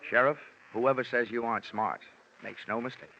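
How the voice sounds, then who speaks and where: An actor playing a wisecracking insurance investigator who narrates dryly in a 1950s radio drama. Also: male voice, medium pitch, steady tone, moderate pace, gravelly, very noisy, close to an everyday voice. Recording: dull old radio recording with music.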